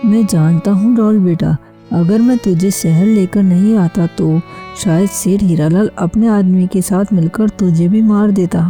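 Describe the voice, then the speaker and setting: Cartoon mentor: protective, solemn uncle played by a woman, very mellow dark timbre, fatherly, music behind. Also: mid-range voice, a little slow, lightly acted. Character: protective, grave uncle, adult village man. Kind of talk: Hindi animated moral story dialogue.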